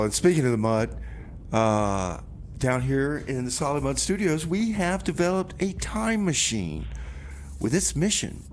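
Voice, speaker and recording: A man presents a community radio music show in a mid-range voice. His delivery is casual and a little halting, with repeated words and comic banter, over some background noise. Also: medium pitch, darkish tone.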